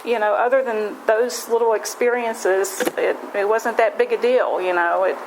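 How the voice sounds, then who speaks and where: A woman's voice: fairly high pitch, steady tone, moderate pace, background noise. Rambling West Virginian woman sharing her story. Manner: rambling, folksy, self-deprecating, frequent restarts. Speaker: speaker at a recovery meeting. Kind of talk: personal recovery share.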